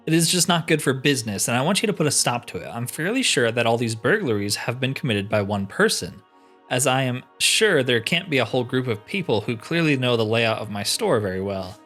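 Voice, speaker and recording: A man's voice: medium pitch, fairly bright tone. A man voicing a wary, put-upon female village trader in a fantasy game, formal and matter-of-fact.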